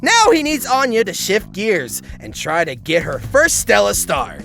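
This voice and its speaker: Bright, wildly animated wry recap narrator. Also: medium pitch, measured pace, gravelly, soft background music. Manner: wry, mock-dramatic. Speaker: narrator and host. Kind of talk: comedic scripted recap narration.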